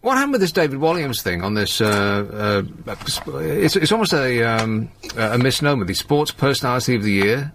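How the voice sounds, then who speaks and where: A man's voice: mid-range voice, big pitch swings, normal speed, hardly any pauses, fairly bright tone, slight background noise. A man hosting a live radio phone-in, chatting and telling stories in a dry, exasperated way. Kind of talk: casual live phone-in chat and anecdote.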